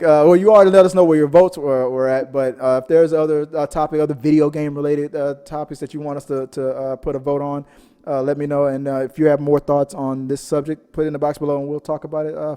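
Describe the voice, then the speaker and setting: Mellow man hosting in a reverberant space. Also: on the high side, clean tone. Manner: rambling, repeats words and phrases. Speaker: host of a panel show. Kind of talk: casual chat about audience polls. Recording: long echoey hall reverb.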